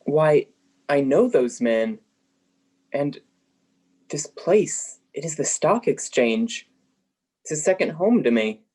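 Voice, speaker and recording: A performer playing a fearful, repentant old miser in a radio play, halting and pleading. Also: medium pitch, big pitch swings, darkish tone. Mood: uneasy.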